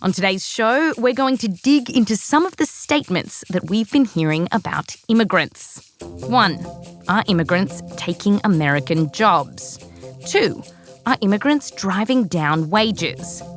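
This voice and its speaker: A woman's voice: mid-range voice, lively intonation, a little slow, soft background music. An Australian woman hosting a science podcast in a scripted narration with playful, jokey asides. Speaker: podcast host.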